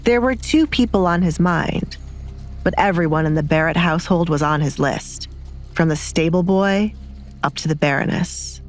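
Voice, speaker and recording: A woman's voice: on the low side, moderate pace, soft background music. A woman narrator reading scripted romance fiction as a storyteller.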